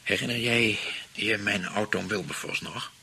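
Casting: a hesitant, money-minded husband in a radio play, Netherlands Dutch accent, creaky and bright-edged. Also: male voice, fairly low pitch, normal speed, exaggerated voice, low-quality recording. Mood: hesitant, brooding. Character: spendthrift husband fretting over an aunt's inheritance.

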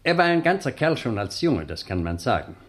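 An actor in a 1960s German radio drama playing a loyal, deferential old butler with a hesitant, servile manner. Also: male voice, mid-range voice, sing-song, fairly quick, fairly bright tone. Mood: fond, nostalgic. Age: older.

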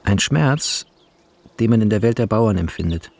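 A man reading screenplay directions in a measured, deliberate way as radio drama narration, with a husky, gravelly voice. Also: fairly low pitch, animated, a little mellow. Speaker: reader of film credits and screenplay directions.

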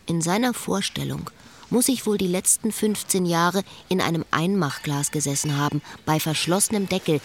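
A woman's voice: on the low side, slight background noise. An actress playing a bitter, watchful woman who narrates and talks to a former lover in a sardonic, observant way in a literary radio play. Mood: wry, reflective.

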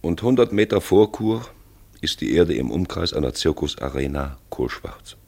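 Very deep, gravelly brooding narrator guide. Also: male voice, very animated, normal speed, dark tone, full character voice. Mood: brooding, intent. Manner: insistent, confiding, rhetorical asides. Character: male narrator guiding listeners round a railway accident scene, probing, brooding. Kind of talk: German radio play narration, conversational direct address.

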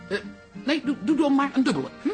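Lively, hesitant café auntie. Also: female voice, sits high, fast talker, soft background music. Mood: self-justifying. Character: plump aunt, a café regular, easily tempted. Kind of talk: Dutch radio drama acting.

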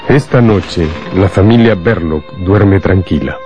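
Low-voiced male radio drama narrator. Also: unhurried, muffled recording. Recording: loud music and crowd sound behind.

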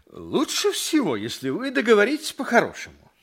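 A man's voice: high voice, wildly swooping pitch, a bit bright, breathy edge, exaggerated voice. An actor playing a middle-aged, peace-loving, muddled town judge who ponders aloud as he tells his story in an audio drama. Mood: puzzled, conciliatory. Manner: musing, conciliatory, drawn-out pondering.

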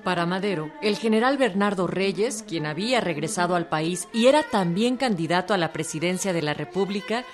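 Low-leaning woman narrator with music behind. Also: lively intonation, a little fast, hardly any pauses. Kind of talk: scripted historical radio drama narration.

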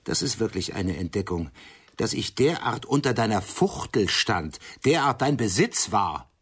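A man's voice: on the low side, big pitch swings, a little slow, a bit bright, breathy edge, full character voice. An actor playing a defensive, indignant man in a radio drama, curt and reproachful. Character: defensive, indignant man quarrelling with an old friend. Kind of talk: German radio drama acting.

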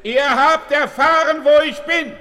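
An actor playing a commanding frontier hero, declaiming with authority in a western radio play. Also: male voice, very high voice, measured pace, bright, forceful tone, full character voice. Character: commanding western hero giving surrender ultimatum. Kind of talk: 1970s German radio play acting. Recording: long hall echo, calling from afar.

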